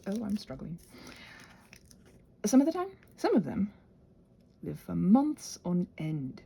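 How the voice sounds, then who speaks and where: A woman hosts a casual craft ramble in a playful, quirky way. Her pitch moves animatedly over a creaky, breathy voice, and she wanders from one aside to the next as if chatting with friends. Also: dark tone. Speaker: host of a crafting channel. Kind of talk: casual rambling craft chat, ASMR channel.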